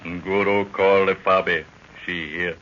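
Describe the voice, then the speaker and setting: An actor playing a loyal, deferential African warrior servant in a 1930s radio adventure, speaking earnest, broken pidgin English. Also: male voice, low voice, steady tone, slow pace. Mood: earnest, reporting. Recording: muffled, noisy 1930s radio recording.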